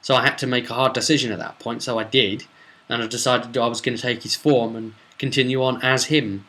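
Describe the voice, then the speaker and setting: Tabletop game serpent person played by a man: bright, forceful voice, mid pitch, earnest, apologetic, hesitant explainer. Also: fairly even intonation, a little fast, close to an everyday voice. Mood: confessing. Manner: hesitant, repeating himself while explaining. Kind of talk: tabletop role-play retelling.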